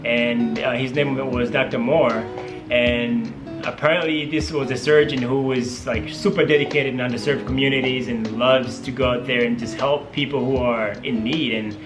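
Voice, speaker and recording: A man's voice: medium pitch, steady tone, normal speed, music in the background. Man, Tanzanian accent, Swahili native, medical student, eager, rambling, roomy echo. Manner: eager, rambling with many fillers. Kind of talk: casual interview.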